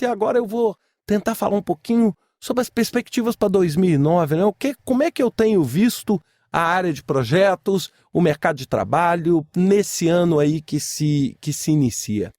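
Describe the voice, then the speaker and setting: A man's voice: on the high side, animated, moderate pace, few pauses, darkish tone, no room sound, clean studio sound. A man with a Brazilian accent hosting a podcast alone, speaking informally and emphatically, with repeated phrases and rhetorical questions. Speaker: podcast host. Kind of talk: informal solo podcast commentary.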